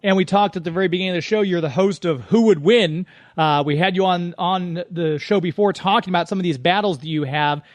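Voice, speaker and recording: A man's voice: high voice, brisk, no room sound. A man hosting a radio show, chatting conversationally with a guest and addressing his listeners.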